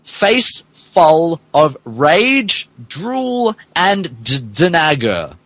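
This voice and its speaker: Animated man reading mock-dramatic fan fiction. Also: fairly high pitch, drawn-out words, no room sound. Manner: stumbles over words, mock-dramatic asides. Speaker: podcast host reading as narrator.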